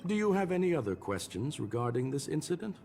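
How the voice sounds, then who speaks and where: An actor playing a courteous, calculating scholarly gentleman in a video game, speaking in a formal, measured, polite way. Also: fairly high pitch, big pitch swings, gravelly, soft background music. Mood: courteous, calm.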